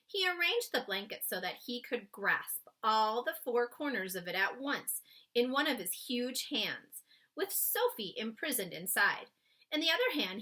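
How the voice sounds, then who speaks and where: Very animated, bright-voiced woman reading a storybook. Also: fairly high pitch. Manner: expressive, suspenseful, with emphatic drawn-out words. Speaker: children's book read-aloud reader. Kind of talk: dramatic storybook reading with asides to young listeners.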